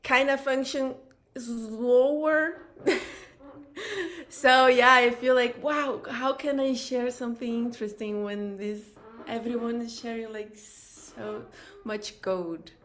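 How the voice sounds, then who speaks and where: A woman from Brazil speaks English with a Brazilian Portuguese accent in a fairly high voice. She talks slowly and hesitantly, pausing to find words in her second language. It is a casual live stream chat. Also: fairly even intonation, a bit bright. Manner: hesitant, searching for words, laughs often.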